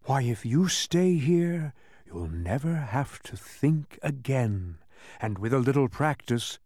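Villainous demon played by a male reader: oily, falsely soothing, theatrical, very animated pitch, dry close sound. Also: slightly rough, dry recording. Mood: soft, coaxing. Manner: theatrical, drawn-out, sneering.

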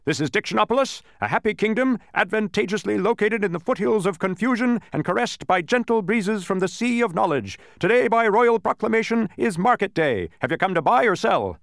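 A man voicing a pompous, impatient storybook gateman with a booming, officious, proclaiming manner. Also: expressive, a little fast, gravelly, few pauses, a bit bright, no echo, studio quality, heavily acted. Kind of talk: children's storybook character voice.

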